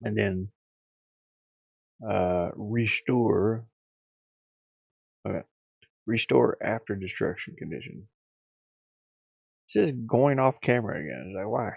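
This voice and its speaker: Gravelly man muttering on a muffled stream. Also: on the low side, animated, slow, long pauses, no echo. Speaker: streamer and hobby game developer. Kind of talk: casual live-stream chat, thinking aloud.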